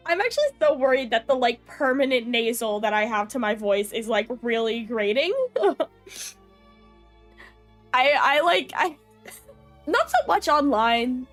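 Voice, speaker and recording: Young woman, high-pitched, nasal, stuffy nose, chatty and giggly, streamer talk, faint music behind. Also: animated, slow, long pauses, fairly bright tone. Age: young adult. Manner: chatty, lots of fillers and giggles. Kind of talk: casual chat with viewers.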